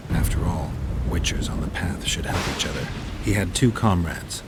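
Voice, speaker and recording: A man's voice: low voice, normal speed, very noisy. An actor playing a grim, world-weary monster hunter, narrating his memories in a video game. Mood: grim, reflective.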